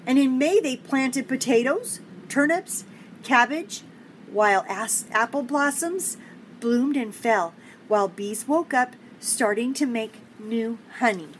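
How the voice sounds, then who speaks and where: An older woman reading a picture book aloud to young children, gently and encouragingly, with chatty asides and questions to the listener. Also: on the high side, slow pace, low-quality recording. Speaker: children's story reader and host. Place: indoor home office. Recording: clear background noise, room ambience.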